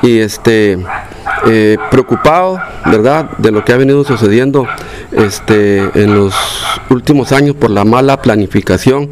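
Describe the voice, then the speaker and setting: A man's voice: mid-range voice, unhurried, background noise. An older man from Costa Rica with a Costa Rican accent, a local resident interviewed outdoors, speaking with many fillers and restarts. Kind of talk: field interview, casual. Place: outdoors in a neighborhood street.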